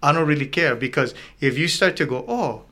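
A man's voice: a little high, expressive, a little fast, sharp tone. A man hosting a casual chat, telling stories and acting out what people say.

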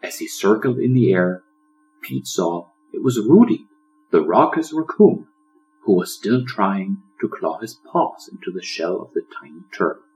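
A man narrating a children's story as an audiobook, in a dramatic, expressive storyteller's manner. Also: sits low, unhurried, soft background music, rough recording. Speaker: author reading own audiobook.

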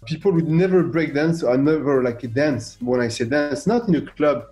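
A man's voice: fairly high pitch, moderate pace, soft background music. A middle-aged man with a French accent, a guest artist in a radio interview, talking animatedly, firing rhetorical questions and acting out what others said. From France. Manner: animated, fires rhetorical questions, acts out quoted voices.

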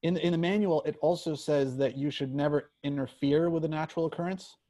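A man's voice: fairly high pitch, steady tone, a little fast, warm tone, close to an everyday voice. A man acting an eager, unsure trainee agent in a screenplay reading, hesitant and full of questions. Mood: anxious questioning.

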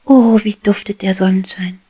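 A woman voicing an eager, bold young female bee in a storybook reading, speaking in an excited half-whisper that rises louder. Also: mid-range voice, normal speed, husky, pitched above the performer's own voice, lightly acted. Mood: thrilled. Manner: excited soft whisper turning loud.

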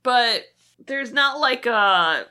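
Female podcast co-host, casual and opinionated, bright voice with lively pitch movement. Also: very slow, clean tone.

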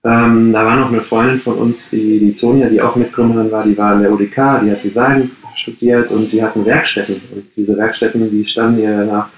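A man's voice: fairly low pitch, little pitch movement, brisk, few pauses, muffled recording, slight background noise. A man in his late thirties from the Ruhr area, answering interview questions casually and with many hesitations. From Ruhr area, Germany. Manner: hesitant, many fillers and restarts. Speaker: coworking space co-founder, podcast guest. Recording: roomy echo.

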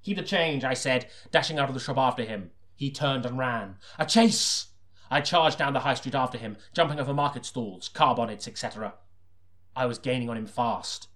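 A man's voice: medium pitch, moderate pace, fairly bright tone, lightly acted. A man playing an earnest, melodramatic detective who narrates his own crime story as an audiobook. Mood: urgent, dramatic. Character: deadpan melodramatic hard-boiled detective narrator, secretly a sinister killer.

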